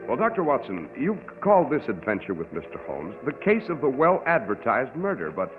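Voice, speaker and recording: A man's voice: medium pitch, wildly swooping pitch, a little fast. A man announcing a 1940s radio drama in a formal, polished manner. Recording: tinny old radio broadcast with organ.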